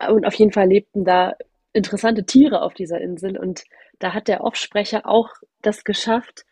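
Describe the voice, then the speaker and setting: A woman's voice: mid-range voice, steady tone, moderate pace, warm tone, breathy edge, no echo. A woman podcast co-host with a cold, sounding nasal, chatting casually and laughing often. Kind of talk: casual conversation.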